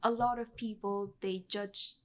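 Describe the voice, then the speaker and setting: A woman's voice: medium pitch, steady tone, measured pace. A woman of twenty-one, a university student answering interview questions in a casual, hesitant way, with many ums and restarts. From Bangladesh.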